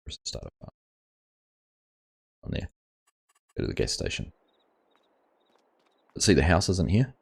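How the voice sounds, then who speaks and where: Guy streaming a farming game, super low voice, a bit husky, rambly chat with viewers. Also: big pitch swings, measured pace, pauses often, darkish tone. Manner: rambling, reads chat aloud and answers viewers. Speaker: gaming livestream host. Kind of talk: casual livestream chat reading viewer comments.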